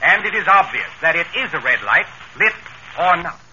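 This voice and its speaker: An actor playing a pompous, impatient judge keeping order in a vintage radio comedy. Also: male voice, on the high side, very animated, normal speed, bright, forceful tone, exaggerated voice. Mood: officious. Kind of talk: 1930s radio comedy acting. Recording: dull, hissy old radio recording.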